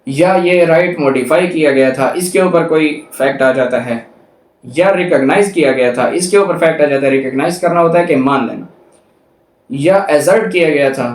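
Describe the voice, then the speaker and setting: Echoey Pakistani man lecturing, higher-pitched voice. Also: moderate pace. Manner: didactic, repeats and lists points. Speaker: law lecturer. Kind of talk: explanatory lecture, reading out statutes and explaining them. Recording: echoey, reverberant room sound.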